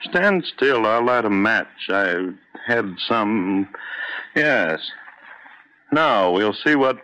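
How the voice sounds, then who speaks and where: An actor playing an irritable, domineering husband who scolds impatiently, in old-time radio drama style. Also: male voice, lowish voice, lively intonation, drawn-out words, sharp tone, full character voice. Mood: scornful. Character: irritable, domineering husband, scornful rose grower. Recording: muffled, noisy old radio recording.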